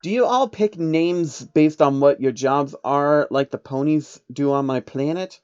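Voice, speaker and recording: A man voicing a naive, excitable male insectoid pony creature from a fan storybook reading, curious and wide-eyed. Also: fairly high pitch, a little slow, clear, resonant tone, lo-fi sound, lightly acted.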